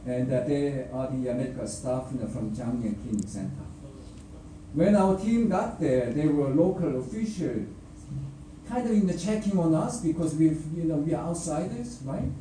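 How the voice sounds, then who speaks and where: A man preaching a sermon and telling a personal mission story, speaking deliberately with pauses and filler words. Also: on the high side, lively intonation, dark tone, distant mic, a little hiss or hum. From raised by a North Korean father. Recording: echoey hall, speaker away from the mic.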